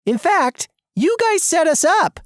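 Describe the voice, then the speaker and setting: A narrator voicing an anxious young woman in an animated story, incredulous and full of questions. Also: extremely high pitch, unhurried, dry recording, clean studio sound, voice pushed up, heavily acted. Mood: confused, indignant.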